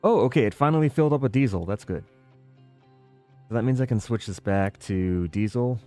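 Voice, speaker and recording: A man giving casual, musing commentary while playing a building game, thinking aloud with relaxed asides. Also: on the low side, fairly quick, faint music behind. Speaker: gaming video creator.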